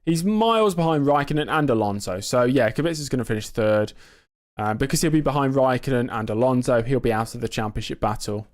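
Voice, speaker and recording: A young man casually commenting on his own racing game in a live stream, joking and self-mocking, quick to get excited. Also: medium pitch. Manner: quickly excitable under pressure.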